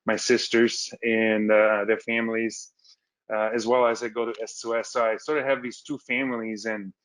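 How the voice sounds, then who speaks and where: A man from Austria on a video call, telling his story as a guest, quick and often repeating words as he starts sentences. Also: on the low side, level tone, fairly bright tone, no echo, rough recording. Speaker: alumnus guest. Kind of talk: casual webinar storytelling. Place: home room with wood ceiling.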